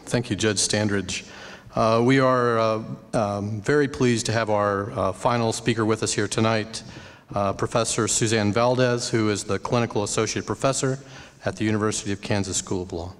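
A man moderating a panel, introducing the next speaker with halting fillers. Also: lowish voice, steady tone. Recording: reverberant hall, distant from the mic.

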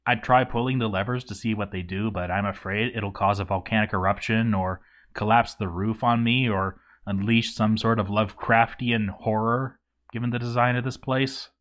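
A man's voice: medium pitch, a bit bright, lightly acted. A man voicing a grouchy, boozy zombie dwarf overseer in a game journal story, stammering and exasperated. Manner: stammering, exasperated, hammy delivery. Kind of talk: dramatic reading of a game journal.

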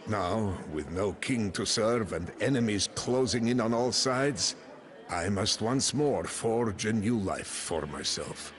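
An actor playing a grim, proud medieval Bohemian mercenary commander, narrating his story solemnly and deliberately for a video game. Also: lowish voice, lively intonation. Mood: grave. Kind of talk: dramatic scripted first-person narration. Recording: clean voice-over with music behind.

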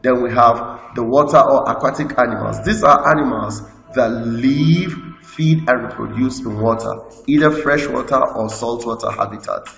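A man with a Nigerian English accent, teaching a school lesson by slowly reading out lists from slides. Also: mid-range voice, vocal fry, a bit bright. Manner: slow, list-like reading with explanations. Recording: echoey room with long reverb, faint music.

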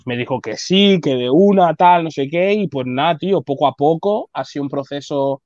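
A man's voice: on the high side, moderate pace, no room sound, dull audio. Laid-back slangy Spanish man on a call. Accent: Spain Spanish, Barcelona area. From Spain. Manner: slangy, relaxed, many fillers. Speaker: guest rapper. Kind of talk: casual interview chat. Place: indoor bright room. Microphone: video call webcam.

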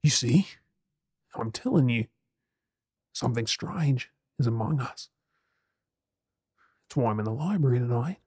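A man's voice: medium pitch, animated, unhurried, pauses often, darkish tone, slightly rough, no echo, clean studio sound. A man with an Australian accent playing a troubled, earnest werewolf boyfriend in an ASMR audio drama, hesitant yet intense. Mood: uneasy.

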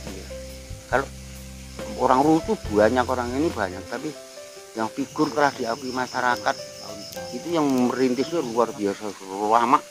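A man's voice: medium pitch, normal speed, pauses often, over music, rough recording. Older man with a Ngapak Javanese accent. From Banyumas region, Central Java. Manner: rambling, musing, chuckles often. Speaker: guest, veteran TV actor. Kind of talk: casual reflective chat. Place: outdoor garden table at night.

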